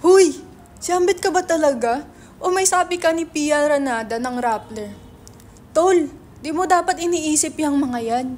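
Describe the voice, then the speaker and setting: Woman playing brash, high-pitched Filipino business hustler. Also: animated, moderate pace, darkish tone, a little hiss or hum. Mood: insistent. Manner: sarcastic, colloquial, mixing English into Tagalog. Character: brash, money-minded male website entrepreneur, teasing his friend. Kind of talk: dramatic reading of a stage script.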